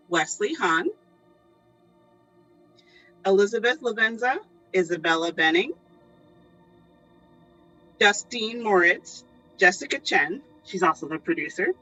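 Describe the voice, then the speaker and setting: A woman's voice: mid-range voice, bright, forceful tone. A woman hosting, reading out a cast list as a curtain-call announcement. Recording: dull audio, faint music.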